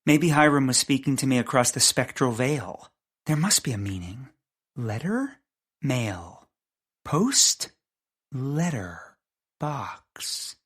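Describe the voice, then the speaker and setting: An actor playing an excitable, bumbling detective in a comic audio drama, stammering, rambling and exclaiming. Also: medium pitch, slow pace, long pauses, breathy edge, exaggerated voice. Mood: puzzled musing. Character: excitable, bumbling detective Eugene, easily overjoyed.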